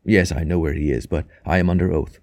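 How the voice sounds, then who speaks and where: A man's voice: low voice, fairly even intonation, fast, darkish tone. A man playing an earnest, doting husband shaken by hope, intense and affectionate, in an audiobook. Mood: tender, serious. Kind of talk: audiobook character dialogue.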